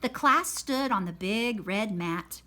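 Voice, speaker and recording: Slow, expressive woman reading a children's book. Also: medium pitch. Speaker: storybook reader. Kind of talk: children's read-aloud narration.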